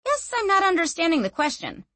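A woman's voice: very high-pitched, huge pitch swings, a little fast, bright, forceful tone, no echo, pitched above the performer's own voice, exaggerated voice. A woman voicing an ancient sentient object that talks through a young woman's body in a casual, slangy, breezy way. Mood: offhand. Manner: casual, slangy, matter-of-fact explaining.

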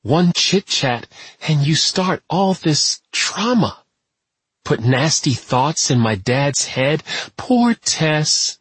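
A man voicing a troubled, paranoid woman in an audiobook, soft and whispery, shifting between bewildered, bitter and weary. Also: on the high side, very animated, slow, breathy edge, no echo, pitched above the performer's own voice, full character voice. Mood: snarling, bitter. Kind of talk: audiobook character voice.

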